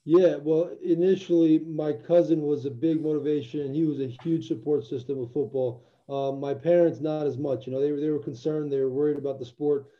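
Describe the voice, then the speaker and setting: A man's voice: a little high, fairly even intonation, a little fast, few pauses, highs cut off. A young man from Albania, a former football player, answering interview questions in an earnest, reflective way with many restarts. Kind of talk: casual interview.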